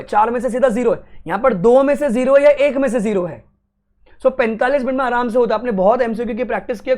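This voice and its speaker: A young Indian man teaching exam strategy to students, talking in an energetic motivational way and mixing Hindi with English. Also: extremely high pitch. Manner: energetic, switches between Hindi and English, addresses students as kids.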